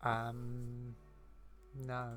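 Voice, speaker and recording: A woman's voice: rumbling low, monotone, extremely slow, faint music behind. A woman making casual gameplay reactions and comments as a streamer.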